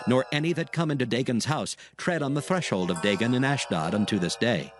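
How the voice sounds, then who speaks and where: A man reads scripture as a narrator, solemn and measured. His voice sits at a middling pitch, with music playing clearly behind it. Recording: background music under the narration.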